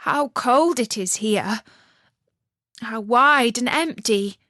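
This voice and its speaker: A woman's voice: on the high side, slow, sharp tone, no room sound, clean studio sound. A woman voicing a bewildered young boy in a storybook, exclaiming in wonder. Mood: bewildered relief. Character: young boy Kay, bewildered and newly awakened.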